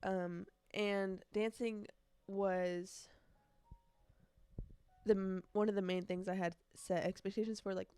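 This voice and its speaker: A woman's voice: mid-range voice, little pitch movement, slow pace, long pauses, mellow, clean tone, no echo, faint music behind. A nineteen-year-old woman chatting casually on a solo podcast, rambling and correcting herself, with youthful slang and emphatic repetition. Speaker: podcast host.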